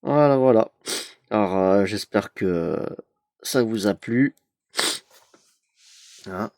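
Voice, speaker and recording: Young male vlogger, stuffy from a cold, unhurried and hesitant, dry close sound. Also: on the low side, a little mellow, no echo. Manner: hesitant, many "euh" fillers, chatty. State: has a cold. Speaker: YouTube collector opening an advent calendar. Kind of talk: casual unboxing vlog.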